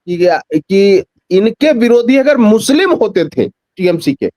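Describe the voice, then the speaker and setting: A man's voice: high voice, sing-song, takes it slowly, dark tone, dry recording. A man giving extended political commentary as a guest in a news discussion, emphatic, repeating phrases and posing rhetorical questions.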